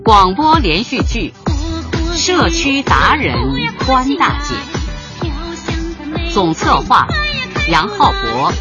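A woman's voice: on the high side, very animated, slow, long pauses. A woman reading formal scripted announcements as credits announcer for a radio serial. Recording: dull audio, loud music behind.

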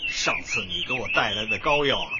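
A man's voice: mid-range voice, huge pitch swings, fairly quick. An actor playing a friendly, good-natured neighbourhood man in a radio drama. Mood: grateful. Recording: muffled, noisy, busy crowd behind.

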